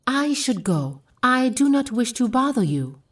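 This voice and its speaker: A woman's voice: sits high, big pitch swings, a little slow, recorded from a distance, heavily acted. A woman playing a polite, demure young Japanese woman in an audio drama. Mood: shy, polite.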